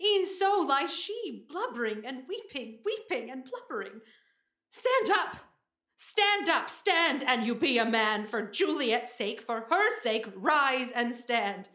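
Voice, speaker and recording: A woman's voice: high voice, very animated, slow, pushed and bright, exaggerated voice. A woman playing a fretful, lamenting servant nurse, effusive, in classical Shakespearean stage style. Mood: distressed. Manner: wailing, repetitive, effusive. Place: indoor performance space. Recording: echoey stage recording.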